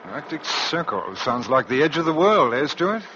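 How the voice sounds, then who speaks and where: A man's voice: on the high side, very animated, moderate pace, bright, forceful tone. An actor playing a brash, uneasy crewman on an Arctic expedition in an old-time radio drama. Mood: curious. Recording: muffled, noisy old radio drama recording.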